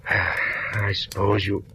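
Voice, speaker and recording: An actor playing an ailing older big-shot columnist in a radio drama, weak but sly, with a halting, breathy delivery. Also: male voice, lowish voice, sharp tone, full character voice. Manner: halting, breathy, chuckling knowingly. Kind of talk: 1940s radio drama acting. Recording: hissy vintage radio recording.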